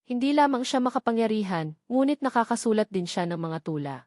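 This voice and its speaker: A woman's voice: a little high, animated, fairly quick, darkish tone, no echo, close to an everyday voice. Devoted young female disciple for an audiobook, voiced by a woman narrator, respectful, eager, dry recording. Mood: proud.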